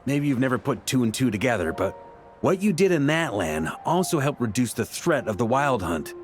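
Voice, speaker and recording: A man's voice: mid-range voice, big pitch swings, normal speed, natural voice. An actor playing an easygoing male knight in a video game, talking in a casual, wry and reflective way over background music. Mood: reflective, sincere.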